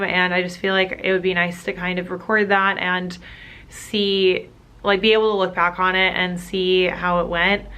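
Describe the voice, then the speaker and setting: A young woman from the United States talks straight to her viewers in a chatty, candid vlog. Her voice is smooth and clear, with a fairly flat, level pitch. She speaks as a postgraduate student sharing her week. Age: young adult. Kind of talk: casual vlog chat to camera.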